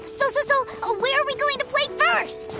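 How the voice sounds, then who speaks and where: Excitable, high-pitched little boy. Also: moderate pace, over music. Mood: curious. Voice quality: high, childlike. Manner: excitable, guileless, bubbly. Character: eager, innocent little boy, adoring kid brother. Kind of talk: video game character acting.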